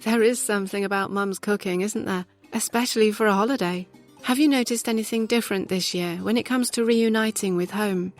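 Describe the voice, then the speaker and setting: A woman with a mid-range voice hosts the podcast and asks her guest questions in an upbeat way. Her lines sound scripted, and soft music plays behind her. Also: medium pitch, brisk. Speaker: podcast host. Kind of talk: scripted podcast hosting with an ad read.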